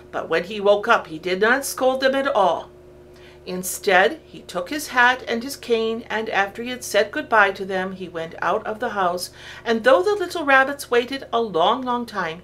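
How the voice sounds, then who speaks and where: A woman narrating a children's story from a script, in an expressive, deliberate storytelling way. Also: mid-range voice, slight background noise.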